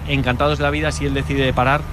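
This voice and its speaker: A man from Barcelona with a light Catalan accent, chatting as a team guest in a measured way, pausing often on fillers. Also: medium pitch, flat delivery, noisy background. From Barcelona, Spain. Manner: measured, hesitant with many fillers. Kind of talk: casual interview chat.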